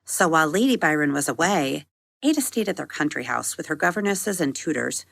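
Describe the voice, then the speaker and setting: A woman reading a scripted children's story as a podcast host, with measured, expressive storytelling. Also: deep voice, creaky, no echo, clean studio sound.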